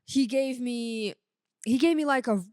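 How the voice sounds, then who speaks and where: A woman's voice: fairly high pitch, unhurried, darkish tone, no echo, studio quality. A woman in her thirties from Russia, a native Russian speaker, talking informally and modestly as a podcast guest, with lots of "like" and "you know". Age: 30s. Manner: informal, self-effacing, peppers talk with "like" and "you know". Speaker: podcast guest, sound engineer. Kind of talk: casual chat interview.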